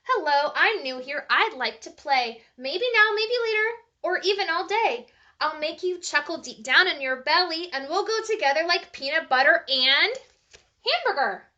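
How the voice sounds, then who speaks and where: A woman's voice: high-pitched, animated, normal speed, sharp tone, muffled recording. A woman voicing an eager, friendly little boy made of peanut butter in a storybook, with a singsong, rhyming delivery. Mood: eager, hopeful.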